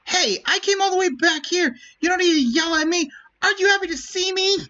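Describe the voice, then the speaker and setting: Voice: very high-pitched, brisk, pushed and bright, pitched above the performer's own voice. A streamer voicing a bubbly, defiant winged teenage girl from a fantasy game, chirpy and exclamatory, on dull stream audio. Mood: bright, excited. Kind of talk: dramatic read-aloud of game dialogue.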